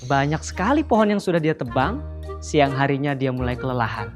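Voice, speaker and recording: A woman telling a children's story in an animated, sing-song way, addressing the children directly. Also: rumbling low, fairly quick, over music.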